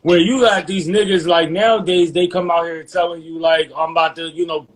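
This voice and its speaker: A man's voice: on the high side, steady tone, a little fast. A man in his thirties in a casual podcast chat, making his point through examples and stories. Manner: storytelling, makes points with examples.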